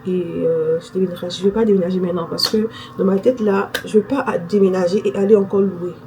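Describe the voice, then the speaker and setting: A woman's voice: on the low side, steady tone, quick pace, warm tone, slight background noise. A young woman chatting casually to her viewers, thinking aloud with fillers. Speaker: vlogger. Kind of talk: casual vlog chat to camera.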